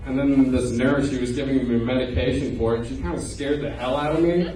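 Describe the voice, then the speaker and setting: A man's voice: mid-range voice, steady tone, fairly quick, warm tone. A man in his twenties performing a stand-up set in a loose, conversational way, with self-deprecating punchlines. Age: 20s. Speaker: stand-up comedian. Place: comedy club stage before a live audience. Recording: echoey live room, distant mic, audience noise.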